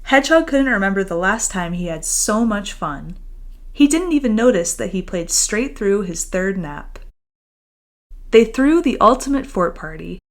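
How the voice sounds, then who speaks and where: A woman's voice: mid-range voice, lively intonation, normal speed. Woman author reading a storybook aloud. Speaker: children's author reading their own book. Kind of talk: expressive storybook read-aloud and friendly chat to young listeners.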